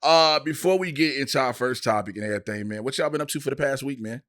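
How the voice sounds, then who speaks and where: Fast-talking man bantering on a podcast. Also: medium pitch. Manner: animated, slangy storytelling. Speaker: podcast co-host and stand-up comic. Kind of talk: casual, bantering podcast chat. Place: podcast studio.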